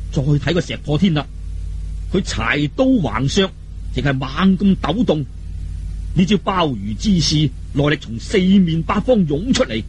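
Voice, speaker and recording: Male storyteller, Cantonese accent, high voice, very lively intonation, colloquial martial arts narration, dramatic. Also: slight background noise. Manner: animated, colloquial, dramatic telling with exclamations.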